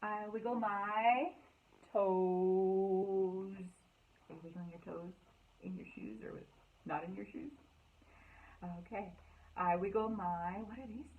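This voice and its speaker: A woman hosting a children's storytime, reading aloud and chatting in an animated, encouraging way with simple words for young listeners. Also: mid-range voice, slow pace, pauses often, narrow, tinny audio. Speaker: children's librarian and storytime host.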